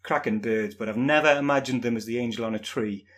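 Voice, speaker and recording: A man's voice: mid-range voice, quick pace, fairly bright tone. A man from northern England with a northern English accent, hosting a podcast in a friendly, playful way. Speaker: podcast host. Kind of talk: casual chat.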